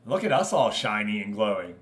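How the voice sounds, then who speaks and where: A man's voice: on the low side, very animated, fairly quick. A man hosting an online football management game league, chatting casually and informally with his viewers as he commentates.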